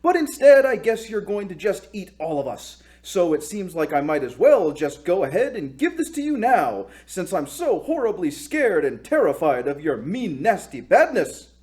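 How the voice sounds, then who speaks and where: A man's voice: high voice, sing-song, a little mellow, pitched above the performer's own voice. A man voicing a wily, chatty hero who feigns meek fright and innocence in a comic story skit. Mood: mock timid. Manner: halting, mock-innocent, exaggerated politeness.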